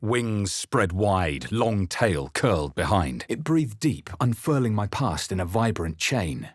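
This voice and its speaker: A brooding fantasy game hero with a very low, husky voice. He recounts dark visions in measured, ominous narration, recorded close and dry. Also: sing-song, no echo, heavily acted. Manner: measured, solemn, ominous storytelling. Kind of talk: dramatic first-person scripted game narration.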